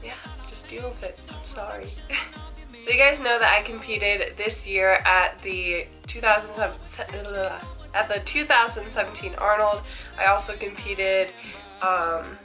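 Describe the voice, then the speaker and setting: A woman's voice: mid-range voice, fairly even intonation, measured pace, sharp tone, soft background music. A young woman with a congested voice from a cold, chatting candidly to camera about her fitness routine. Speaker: fitness vlogger. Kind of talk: casual chat to camera.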